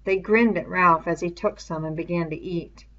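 A woman's voice: lowish voice, fairly even intonation, normal speed, fairly bright tone. A woman reading a novel aloud as scripted narration, on a dull lo-fi recording. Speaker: audiobook reader.

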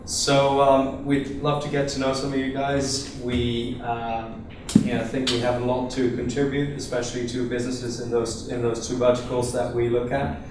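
Young man, from Iceland, flat even delivery, heavy room echo, distant handheld mic. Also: mid-range voice, moderate pace, noisy background. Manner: relaxed, with many fillers and restarts. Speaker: venture capital investor. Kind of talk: conference pitch talk. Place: conference room with seated audience. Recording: echoey room, voice from far off.

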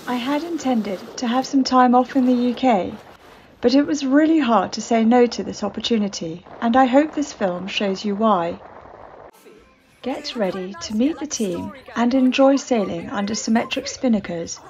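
Woman skipper narrating a sailing film, calm and measured, slightly high voice, faint hiss behind. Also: muffled recording. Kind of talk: scripted voice-over narration.

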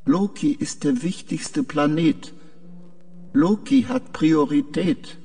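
A man acting as a high, bright-less, creaky male artificial mind from a sci-fi radio play. He is confused and dreamy, speaking slowly and haltingly. The voice sounds a little distant, with music behind it. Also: expressive, full character voice. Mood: dreamy, uneasy. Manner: halting, short hesitant answers. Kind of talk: science fiction audio drama.

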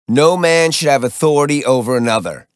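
A man's voice: mid-range voice, a little fast, dry recording, clean studio sound, lightly acted. A man playing a rebellious, brawling outlaw in a video game, casual and defiant. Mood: casual defiance. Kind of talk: scripted video game character lines.

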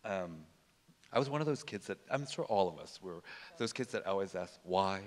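A middle-aged man with a very low voice speaks on a panel stage through a handheld mic. He talks reflectively and hesitantly, full of "um"s and restarts. A long hall reverb trails his words. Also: lively intonation, moderate pace. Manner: hesitant, many fillers and restarts. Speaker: fashion designer on a panel. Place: stage in a large auditorium.

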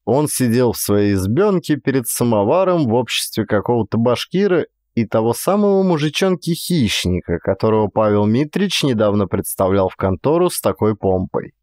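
A man reading a literary story as an expressive audiobook narration. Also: mid-range voice, moderate pace, hardly any pauses, no echo, studio quality.

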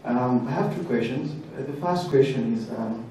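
A man's voice: medium pitch, normal speed, mellow. A man in his twenties with a Kenyan accent, a student politely and hesitantly putting a formal question from the floor. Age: 20s. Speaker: student audience member asking a question. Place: large crowded indoor lecture hall. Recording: very echoey hall, distant, faint noise.

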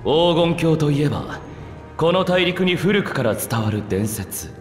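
Cool bookish young prince, measured n proper, echoey room with music, video game. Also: male voice, fairly high pitch, big pitch swings. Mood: polite, inquiring. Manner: formal, measured, articulate. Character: composed, scholarly young prince, politely cutting. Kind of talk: Japanese video game voice acting. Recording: echoey hall reverb, background music.

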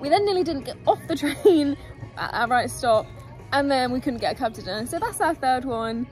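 A woman's voice: high-pitched, expressive, fairly quick, a little mellow. Young woman vlogging outside, camera at arms length, chatty, music over it. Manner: chatty, upbeat, talks straight to viewers. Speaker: travel vlogger. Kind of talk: casual vlog narration to camera. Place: outdoors in a town and on a rooftop terrace. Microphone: handheld camera at arm's length. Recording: music playing under the voice.

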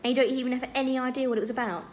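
A frank and caring teenage boy from a storybook, played by a woman reading aloud. He is direct and gently probing, with a fairly high voice. The recording is a little noisy. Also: fairly even intonation, rapid-fire, close to an everyday voice. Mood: curious.

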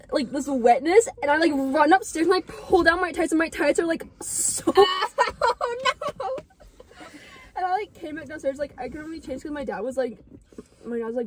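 A young woman with a high voice tells a meandering story to friends, filling every pause with "like" and breaking into giggles. She is sitting in a parked car at night and is picked up by a phone on the dashboard. A faint hiss sits under her voice. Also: a little mellow. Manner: rambling, giggly, heavy use of "like". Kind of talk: casual chat.

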